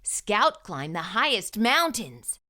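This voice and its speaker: A woman reading a storybook aloud to young children, with expressive, emphatic delivery and deliberate phrasing. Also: medium pitch.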